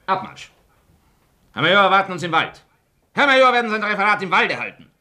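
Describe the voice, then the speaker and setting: Shrill, barking militaristic lieutenant. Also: male voice, big pitch swings, brisk, sharp tone, full character voice. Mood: barking, contemptuous. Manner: barking, imperious commands. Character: bullying, snapping militaristic German lieutenant. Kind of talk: radio drama acting.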